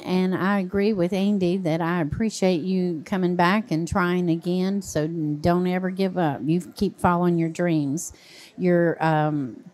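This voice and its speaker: An older woman judging a kids' baking contest, speaking gently and encouragingly into a handheld mic in a large fair hall. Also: lowish voice. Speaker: contest judge. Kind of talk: giving judge's feedback.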